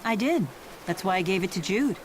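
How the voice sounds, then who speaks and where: A woman's voice: mid-range voice, expressive, brisk, slight background noise, natural voice, rough recording. A woman playing a dignified, earnest spirit lord in a video game, composed, formal and matter-of-fact. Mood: wistful, composed.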